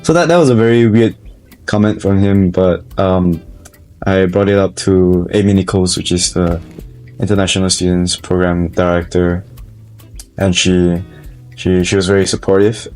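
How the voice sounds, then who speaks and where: Deep, flat-voiced Malaysian young man. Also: moderate pace, faint music behind. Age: young adult. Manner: thoughtful, with frequent restarts and hedges. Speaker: college student. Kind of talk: personal interview testimony.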